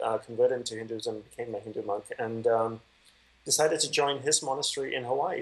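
Calm man telling his story on a call. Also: mid-range voice, low-quality recording. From Malaysia. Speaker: podcast guest. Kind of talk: conversational interview answers. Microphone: video call.

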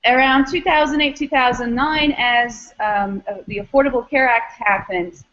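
A middle-aged woman with a fairly high voice explains her work as an interview guest. She is from Istanbul and phrases things in a measured, academic way. The audio is a little dull and lo-fi. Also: steady tone, fairly bright tone. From Istanbul, Turkey. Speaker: interview guest, startup founder and former academic.

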